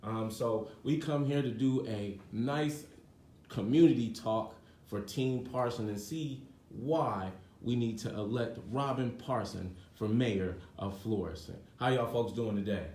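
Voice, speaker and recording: A man's voice: medium pitch, measured pace, a little mellow. A man hosting a community event in a casual, slangy, joking way. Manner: informal, slangy, joking with the room. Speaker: host, community news presenter. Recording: echoey room, distant mic, faint noise.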